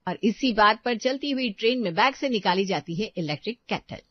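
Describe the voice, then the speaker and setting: A woman's voice: medium pitch, animated, brisk. Woman narrating a storybook. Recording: dull dry voice-over.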